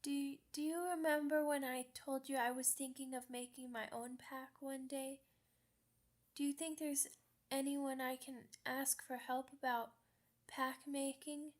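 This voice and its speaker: Woman voicing a sad, slow-talking young werewolf girl. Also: sits high, little pitch movement. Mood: sleepy, hopeful. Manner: hesitant, childlike simple phrasing. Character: sad young female werewolf pup, orphaned and earnest. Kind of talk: ASMR roleplay monologue.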